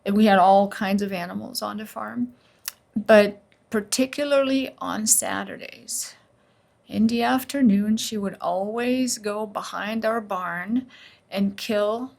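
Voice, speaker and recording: A woman's voice: medium pitch, takes it slowly, gravelly, darkish tone. A middle-aged woman from Slovenia, a neurologist guest telling her story earnestly and at length in an interview.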